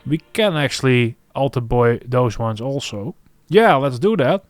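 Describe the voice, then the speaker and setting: A man's voice: mid-range voice, lively intonation, a little slow. Man thinking aloud through a music tutorial. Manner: thinking aloud while working. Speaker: music production tutorial host. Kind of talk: casual screen-recorded tutorial commentary.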